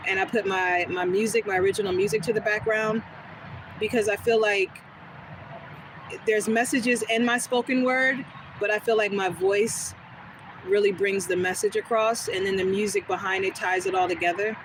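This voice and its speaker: A woman with a smooth, soothing voice, chatting casually with viewers during a live stream and answering their comments as she goes. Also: medium pitch, normal speed. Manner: relaxed, rambling, talks back to chat comments. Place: outdoors on a quiet street. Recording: noisy outdoor phone recording.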